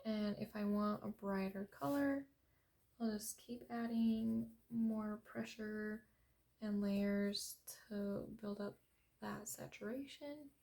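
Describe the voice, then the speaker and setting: A young woman talks through a coloring tutorial in a very quiet, soft voice. She speaks slowly, at an ordinary mid pitch, with hesitant pauses and frequent ums. She sounds gentle and a little apologetic. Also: gravelly. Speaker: art tutorial host. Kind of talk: unscripted coloring tutorial narration.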